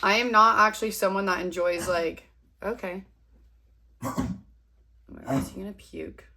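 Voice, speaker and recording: A woman's voice: medium pitch, steady tone, moderate pace, bright, forceful tone. A young woman in her twenties talks to her camera in a loose, rambling way, with a bit of self-deprecating humor. She is fighting a cold, so she sounds a little sniffly. She chats at home while she does her makeup. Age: 20s. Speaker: vlogger. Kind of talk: casual chat to camera.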